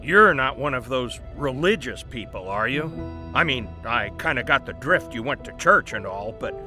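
An actor playing a brisk, persuasive newspaper boss in an audio drama, confident and explanatory. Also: male voice, medium pitch, animated, sharp tone, soft background music. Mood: pushy, skeptical. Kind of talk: family audio drama acting.

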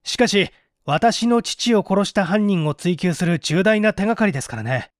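A man's voice: sits high, expressive, normal speed, no echo, clean studio sound, voice pushed up. A man playing a cool, politely needling young man in a mystery story reading, articulate and pointed.

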